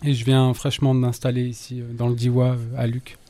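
Man from lyon, musician guest, mellow warm voice, short answers. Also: medium pitch, monotone, brisk. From France, Lyon. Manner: brief answers with fillers. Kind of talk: interview answer.

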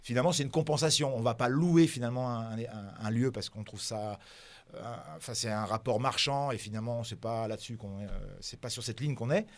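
A man's voice: medium pitch, a little fast, no echo. A man whose first language is not French, presenting a cultural program in a radio interview, hesitant with many fillers and restarts.

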